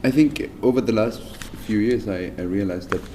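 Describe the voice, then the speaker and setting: A man, a jazz bassist answering interview questions thoughtfully, with lots of hesitations and restarts. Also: moderate pace, mellow, a little hiss or hum. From Denmark. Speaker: jazz double bass player, interviewee. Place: concert venue. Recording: music playing underneath. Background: music playing.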